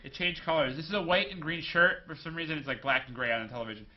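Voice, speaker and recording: A man's voice: on the high side, racing, lo-fi sound. A young man hosting a casual interview show, rambling loosely and joking between questions. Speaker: host.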